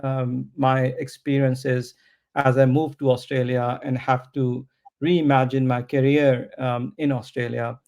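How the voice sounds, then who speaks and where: A man whose first language is not English, answering interview questions about his product in a slow, thoughtful way with many pauses and restarts. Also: medium pitch, level tone, vocal fry, darkish tone. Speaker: startup founder, interview guest. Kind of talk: podcast interview.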